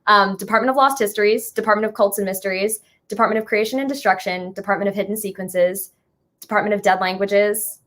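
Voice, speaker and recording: Young woman being interviewed, chatty and casual, average pitch, says like and sort of a lot. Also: fairly even intonation, fast talker, fairly bright tone. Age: 20s. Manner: chatty, informal, hedging with "like" and "sort of". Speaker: musical writer and guest being interviewed.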